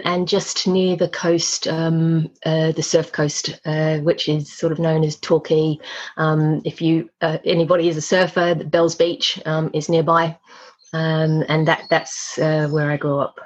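Husky-voiced chatty woman, lo-fi sound. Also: low voice, level tone, measured pace. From Australia. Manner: chatty, laughs often, many ums. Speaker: guest. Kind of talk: casual interview chat.

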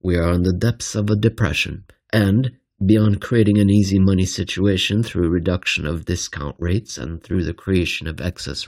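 A man with a low voice full of creak narrates a written essay. His tone is husky and rough, and his phrasing is deliberate and emphatic, with a wry edge. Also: a little mellow. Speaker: reader of a financial essay.

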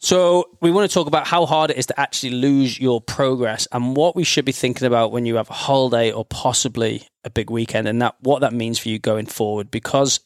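A man's voice: mid-range voice, fairly even intonation, a little fast, hardly any pauses, no echo, studio quality. A man hosting a podcast in an informal, bantering, chatty way. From North Wales, UK. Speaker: podcast host. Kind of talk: casual podcast chat.

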